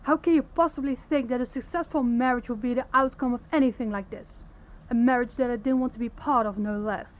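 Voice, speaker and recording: A woman's voice: on the high side, steady tone, narrow, tinny audio, lightly acted. A woman playing a young princess, stern, principled and formal, in an audio roleplay. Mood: indignant, scornful. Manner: formal, commanding, measured diction. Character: young princess, stern, authoritative, compassionate, defiant.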